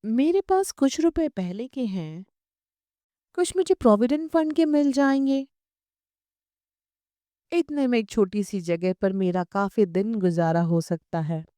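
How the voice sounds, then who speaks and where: A woman's voice: fairly high pitch, warm tone, no room sound, clean studio sound. A woman voicing a weary, disillusioned female office worker in an audiobook story, earnest and brooding.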